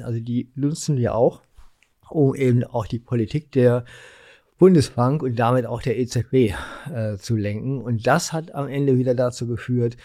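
A man's voice: medium pitch, dark tone, dry recording, studio quality. A man in his sixties from Germany's Ruhr area, chatting as an interview guest in a colloquial, rambling way with slightly impaired articulation. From Germany, Ruhr area (Essen). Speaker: podcast guest, real estate market analyst. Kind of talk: casual interview chat.

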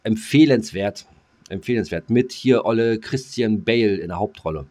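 Low-pitched man bantering on a podcast. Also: normal speed, a little mellow. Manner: rambling, jokey, interjecting asides. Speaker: podcast co-host. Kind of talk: casual comedic podcast banter.

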